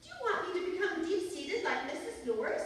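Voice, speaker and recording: A woman's voice: very high-pitched, lively intonation, a little fast. A woman playing a scatterbrained, chatty wife in an old-time radio comedy, earnest and muddling her words. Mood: chatty, confiding. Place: college radio theatre stage performance. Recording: echoey hall from far off, faint noise.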